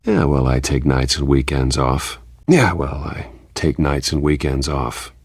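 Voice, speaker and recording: A man playing a suave, charming billionaire socialite in a video game, with a smooth, easygoing, flirtatious manner. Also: a bass voice, steady tone, measured pace, dry recording, studio quality, full character voice. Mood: playful, charming. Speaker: game voice actor. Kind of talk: polished game dialogue acting.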